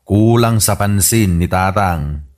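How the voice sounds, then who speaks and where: A man's voice: low voice, slow, a little mellow. A man playing a grumbling grown son with an Ilocano accent in a radio comedy drama. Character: grumbling grown son looking after his old father.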